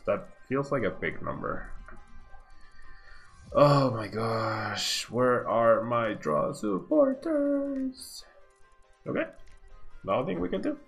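A man's voice: mid-range voice, sing-song, slow, gravelly, pauses often, a bit bright, faint music behind. A man of thirty-one doing casual, slangy livestream game commentary, laid-back and often repeating his phrases. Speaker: game streamer.

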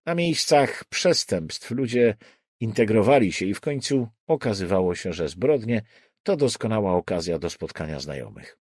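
A man's voice: lowish voice, very animated, normal speed, a little mellow, slightly rough, no room sound, clean studio sound. A man reading a crime novel as an audiobook narrator, in scripted narration.

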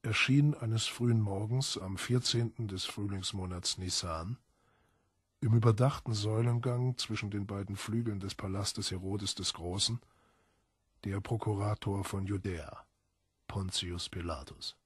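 A man narrating a literary audiobook in a husky voice, with solemn, measured, dramatic emphasis. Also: fairly low pitch, steady tone, no echo, studio quality.

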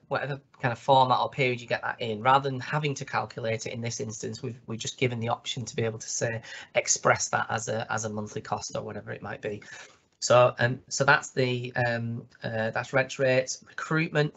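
A slightly hoarse man, walking through a spreadsheet on a screen share with many ums and restarts. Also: mid-range voice, fairly bright tone, dull audio. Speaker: consultant presenting a toolkit.

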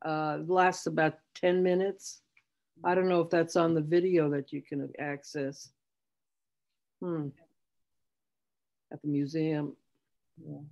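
A woman's voice: low voice, flat delivery, slow pace, pauses often, darkish tone. A woman chatting casually in a group discussion over a video call. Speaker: former member of a women's arts colony. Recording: slightly dull video-call audio.

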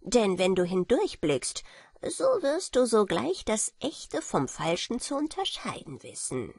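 A woman's voice: mid-range voice, very animated, a little slow, creaky, a little mellow, slightly rough, dry recording, studio quality, heavily acted. A woman voicing a small, solemn dwarf king from a fairy tale, pleading in earnest, formal speech. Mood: cautioning, instructive.

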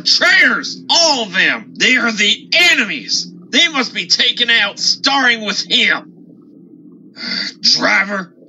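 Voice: medium pitch, sharp tone, full character voice. An actor voicing a bitter, paranoid steam engine plotting revenge in a cartoon, aggrieved and scheming. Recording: dull lo-fi sound, faint noise.

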